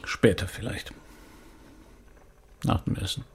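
An actor playing a weary, middle-aged police commissioner in a naturalistic radio drama, terse and sceptical, with a gravelly, husky voice. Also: male voice, takes it slowly, background noise, natural voice. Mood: weary, subdued. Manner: terse, subdued answers.

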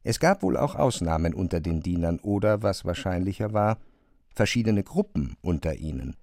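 A man's voice: deep voice, expressive, normal speed, a little mellow, dry recording, clean studio sound. A man reading scripted literary narration for an audio drama.

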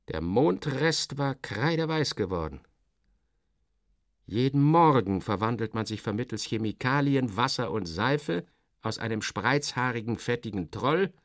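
A man's voice: medium pitch, no echo, studio quality. A man reading first-person literary narration in a dramatic, wry interior-monologue manner.

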